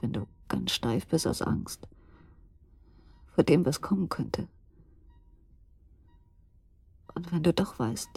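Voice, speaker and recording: An actress playing an anxious, shaken wife and mother in a radio drama, breathy and husky, halting and repeating her words with intensity. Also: sits low, a little mellow, full character voice. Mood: quiet, fearful.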